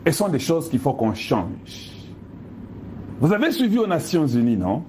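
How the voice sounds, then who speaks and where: A man with a Congolese French accent speaks as a political commentator. His voice sits a little high and swings widely as he presses pointed rhetorical questions. A faint hiss of background noise runs under him. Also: wildly swooping pitch, moderate pace, mellow. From Democratic Republic of the Congo. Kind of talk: impassioned monologue addressing viewers.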